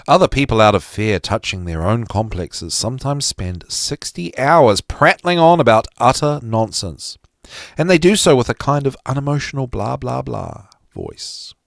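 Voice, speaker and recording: A man giving an expressive, emphatic reading of a psychology lecture, with deliberate phrasing that drops dramatically at the ends of phrases. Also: mid-range voice, gravelly, no room sound, clean studio sound. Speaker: podcast host reading aloud from a psychology text.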